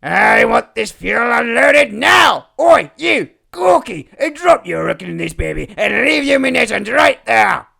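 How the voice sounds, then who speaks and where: A man's voice: very high-pitched, very animated, unhurried, creaky, hardly any pauses, sharp tone, shouting, voice pushed up, full character voice. A man voicing a brusque, bossy harbour boat that barks out orders. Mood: furious, shouting.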